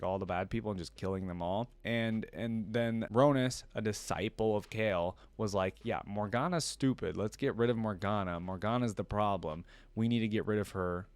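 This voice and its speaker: Guy with a lowish voice doing a casual reaction, reads bits out and thinks out loud, dry room sound. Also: clear, resonant tone, dry recording. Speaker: reaction video host. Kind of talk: casual reaction commentary mixed with reading a story aloud.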